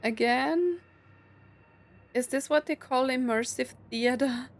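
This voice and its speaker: A woman's voice: sits high, takes it slowly, slight background noise. A woman game streamer casually commentating and reading game text aloud as she plays.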